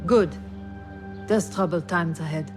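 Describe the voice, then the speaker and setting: An actress playing a loyal, wry confidante in a video game, speaking in a dry, measured way. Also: female voice, fairly low pitch, music in the background. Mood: wry, concerned. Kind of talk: dramatic video game acting.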